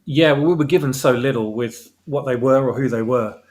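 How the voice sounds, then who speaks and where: A man's voice: medium pitch, fairly quick, a little mellow. A man speaking as a guest author, explaining his work conversationally and searching for the exact word as he goes. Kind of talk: explaining own story in casual discussion.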